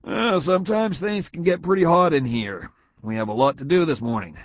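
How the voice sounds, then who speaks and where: A man's voice: a little high, normal speed, no room sound, voice pushed up, lightly acted. A man doing a confident, smiling boss. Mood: assured. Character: confident smiling male boss, direct and warm. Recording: dull lo-fi audio.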